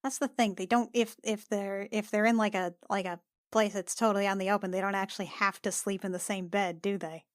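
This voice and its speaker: A woman's voice: mid-range voice, steady tone, a little fast. A woman chatting casually and playfully as a player in a tabletop roleplaying game.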